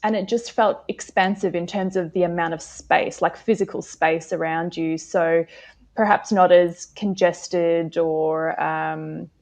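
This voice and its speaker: A woman with an Australian accent, originally from South Africa, speaking in a somewhat low voice. She answers interview questions casually and reflectively, often pausing with fillers. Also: fairly even intonation, a little mellow. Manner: reflective, many fillers and hedges. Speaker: guest.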